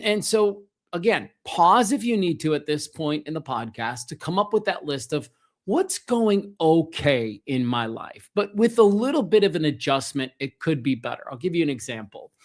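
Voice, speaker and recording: A middle-aged man hosting a solo motivational podcast, speaking earnestly and emphatically, straight to the listener. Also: on the high side. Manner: earnest, emphatic, addresses listener directly with rhetorical questions. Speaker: podcast host and self-help coach.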